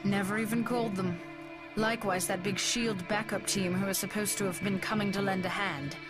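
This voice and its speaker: A woman's voice: mid-range voice, steady tone, brisk, loud music behind the voice, natural voice. A woman voicing a villainous mutant who has possessed a young woman, casual and taunting, in a motion comic. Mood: smug, casual. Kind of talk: dramatic motion comic voice acting.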